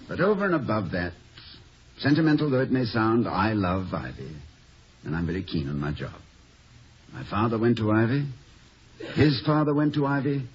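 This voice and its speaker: An actor playing an urbane middle-aged college president, wordy and dryly witty, in a 1950s radio comedy. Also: male voice, mid-range voice, sing-song, moderate pace, pauses often, natural voice. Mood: dryly amused. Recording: dull, hissy 1950 radio recording.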